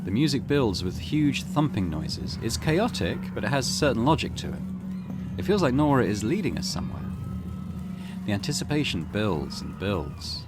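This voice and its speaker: A man's voice: mid-range voice, sing-song, moderate pace. A man hosting a let's play, reading visual novel text aloud expressively with casual comments. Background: loud game music.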